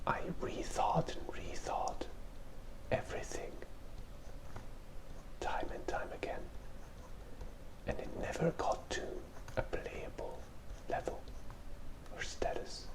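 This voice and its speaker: Slow ASMR whisperer, sex unclear. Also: poor audio. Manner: soft whispering, hesitant pauses. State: has a cold. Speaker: ASMR host and illustrator. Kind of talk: whispered ASMR drawing commentary. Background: drawing tablet and keyboard sounds.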